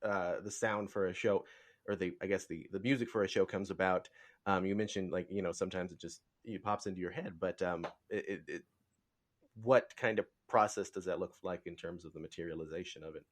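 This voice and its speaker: Low-voiced, halting male podcast host. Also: steady tone. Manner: halting, rambling, tentative phrasing. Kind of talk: casual interview chat.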